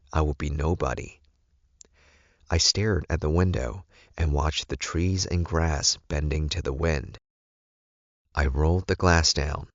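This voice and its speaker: A man's voice: very deep voice, dry recording, lo-fi sound. A man reading first-person fiction aloud as a scripted audiobook narration.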